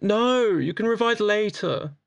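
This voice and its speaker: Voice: medium pitch, lively intonation, a little slow, creaky, clear, resonant tone, dry recording. An actor of androgynous voice playing a sociable, playful doctor in a chatty audio drama, exuberant, informal and teasing. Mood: teasing, warm. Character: breezy, sociable, playful doctor at a space clinic.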